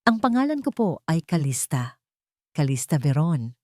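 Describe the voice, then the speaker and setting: An anxious young woman caregiver speaks politely and hesitantly in a dramatic audio story, as a female narrator acts her out. Her voice is low for a woman. The recording is dry and close. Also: very animated, moderate pace, a little mellow, dry recording. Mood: emotional confession.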